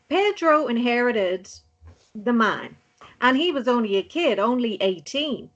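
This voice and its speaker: Affectionate, unhurried mother with lively pitch actress performing. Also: dull audio, exaggerated voice. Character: affectionate mother telling a campfire legend. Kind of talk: table read acting, storytelling.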